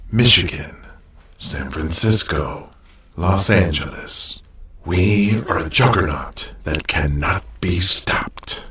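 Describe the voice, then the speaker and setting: An actor playing a commanding, boastful wrestling company boss giving a grandiose, deliberate rallying speech in an audio drama. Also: male voice, sits low, a bit bright. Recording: roomy, distant microphone sound.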